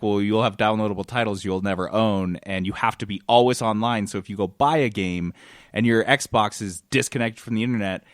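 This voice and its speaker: A man's voice: on the low side, dry recording, studio quality. A man hosting a casual gaming podcast, explaining things and acting out quotes as he goes. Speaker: podcast host, games journalist.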